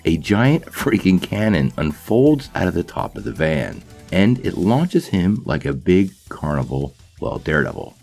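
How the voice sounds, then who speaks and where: A man with a low, gravelly, slightly husky voice narrates a scripted video with eager, playful energy. His pitch swings widely as he tells the story. Faint music sits beneath his voice. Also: big pitch swings, measured pace, few pauses, a little mellow. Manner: enthusiastic storyteller with playful asides. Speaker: host of a comic nostalgia channel.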